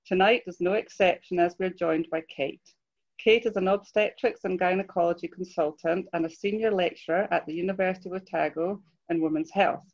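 A woman's voice: fairly low pitch, fairly even intonation, fairly quick, a little mellow. Woman host introducing a speaker. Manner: polite, formal. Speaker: webinar host. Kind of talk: scripted introduction. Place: home room, video call. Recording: slightly dull video call audio.

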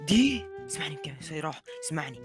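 A high-pitched man improvises as a game shopkeeper. He speaks very quickly with a bright edge, pressing and repeating his demands over faint music. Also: big pitch swings. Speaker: player acting as potion seller. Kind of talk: playful improvised haggling in a game.

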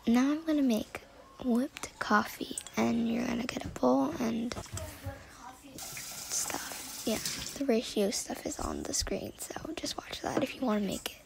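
A young woman narrating her home cooking video in a hushed, breathy voice, with deadpan self-mocking jokes. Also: fairly high pitch, a little slow, poor audio. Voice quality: hushed and breathy, near-whispered. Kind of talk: self-deprecating voice-over narration. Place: home kitchen.

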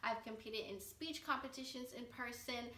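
A woman's voice: on the high side, moderate pace, sharp tone, echoey room, recorded from a distance, a little hiss or hum. A woman in her late twenties talking to camera in a casual, upbeat and earnest vlog from her living room couch. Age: 20s. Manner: upbeat, earnest, gestures with hands while talking. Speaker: vlogger.

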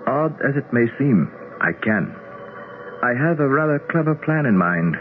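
An actor playing a suave, formal, scheming prince of another world in a 1970s radio drama. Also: male voice, mid-range voice, animated, measured pace, phone-quality sound, slight background noise, close to an everyday voice. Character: smooth, scheming, courtly otherworldly prince. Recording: thin 1970s radio recording.

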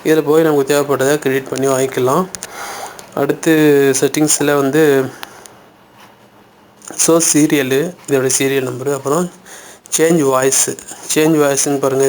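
A man's voice: medium pitch, darkish tone, a little hiss or hum. A man hosting an informal step-by-step app tutorial, talking through each step in colloquial speech. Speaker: YouTube tutorial host.

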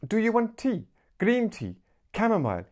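A man's voice: high voice, wildly swooping pitch, normal speed, darkish tone, pitched above the performer's own voice. A man voicing a small, eager teenage girl selling her wares in sing-song patter in an audiobook.

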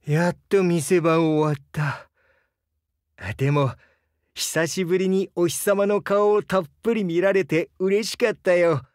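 A man playing a gentle, bashful crocodile diving instructor in a Japanese game, earnest and hesitant, easily flustered. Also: fairly high pitch, no echo, clean studio sound. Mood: gentle, wistful. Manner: soft-spoken, hesitant, earnest, stammers when flustered. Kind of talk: Japanese game character voice lines.

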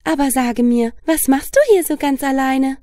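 A woman's voice: sits high, steady tone, moderate pace, dark tone, clean studio sound, voice pushed up, close to an everyday voice. A woman voicing a sweet, coaxing older garden lady in a children's storybook, gushing and sing-song. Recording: long reverb, music underneath.

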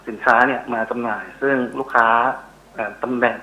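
A man's voice: medium pitch, fairly even intonation, fairly quick, muffled recording. A middle-aged man explaining as an expert guest in a phone interview, often searching for words. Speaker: interview guest, university researcher. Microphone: telephone call.

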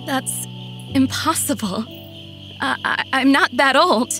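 A woman's voice: high-pitched, takes it slowly, music in the background, rough recording. A woman playing an anxious, bewildered young girl in an audio drama, hesitant and faltering as she talks. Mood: distressed, confused.

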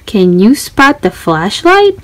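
A woman voicing a cheerful, excitable young girl explorer in a children's storybook, exclaiming and asking listeners for help. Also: medium pitch, takes it slowly. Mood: cheerful, inviting. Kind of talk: children's storybook read-aloud.

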